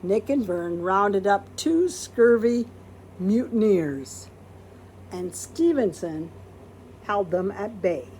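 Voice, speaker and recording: Lady reading a kids picture book, really animated and unhurried, bit of noise behind her. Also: mid-range voice. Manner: expressive, acts out quoted words, asks listeners questions. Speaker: storybook reader. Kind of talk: read-aloud of a children's picture book.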